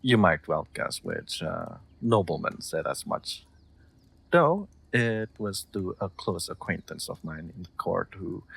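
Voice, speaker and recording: A man playing a gentle, wry nobleman in an intimate audio roleplay, soft-spoken and a little hesitant, with formal wording. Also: low voice, creaky, slightly rough, no room sound, heavily acted. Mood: amused, musing. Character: gentle, wry young nobleman comforting a guest. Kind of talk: intimate scripted audio roleplay, addressed to listener.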